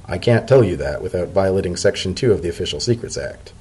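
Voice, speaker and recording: A dignified male pony official from a storybook, played by a man. His voice is fairly low and husky. He speaks with dry, deadpan understatement and firm, dutiful resolve. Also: fast talker, exaggerated voice. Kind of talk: dramatic story reading.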